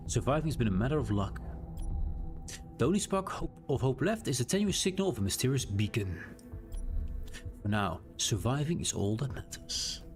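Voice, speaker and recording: Dutch guy streaming a game, dutch accent, reads the screen text out loud, pitch bounces all over, game music behind him. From Netherlands. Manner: chatty, reads game text aloud, thinks out loud with restarts. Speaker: gaming streamer. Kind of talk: live gameplay commentary.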